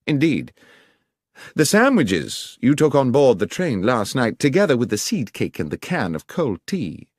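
A man voicing a brisk, incisive male detective in a dramatised audiobook, precise and emphatic, with a coolly sardonic edge. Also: mid-range voice, big pitch swings, slightly rough, no room sound, clean studio sound, pitched above the performer's own voice. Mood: probing.

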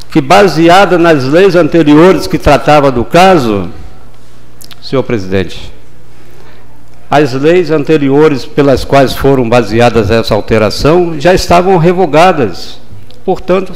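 Older man, councilman, formal deliberate delivery, desk mic in a chamber. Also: fairly high pitch, expressive. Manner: measured, formal, deliberate pauses. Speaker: city councilman. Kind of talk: formal legislative speech. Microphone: desk gooseneck microphone.

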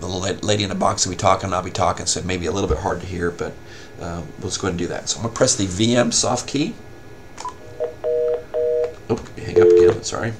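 A man giving a casual, step-by-step software tutorial as a help desk instructor. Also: sits low, fairly even intonation, fast, noisy background. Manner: informal step-by-step explaining.

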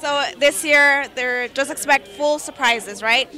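High, bright young woman interviewing outdoors. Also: takes it slowly. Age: young adult. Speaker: interviewer, on-camera host. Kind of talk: red-carpet interview. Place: outdoor press backdrop at a theme park at night. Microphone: handheld reporter microphone. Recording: light crowd noise outdoors.

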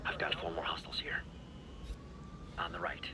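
A man playing a special forces scout in a video game, reporting in terse, hushed phrases. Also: very deep voice, level tone, normal speed. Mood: quiet, focused. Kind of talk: video game military tactical dialogue. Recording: very noisy game mix with vehicle ambience.

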